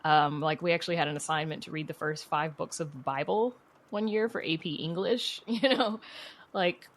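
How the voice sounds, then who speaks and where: Female podcast guest with a low-pitched voice; candid, chatty, conversational storyteller. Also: normal speed. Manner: candid, chatty, peppered with "you know" and "like". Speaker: podcast guest, horror writer.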